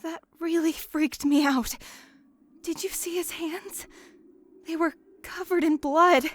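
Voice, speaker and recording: A woman playing a frightened, emotional high school girl in an anime game fan dub, with a pleading, tearful manner. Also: steady tone, slow. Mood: shaken, hushed.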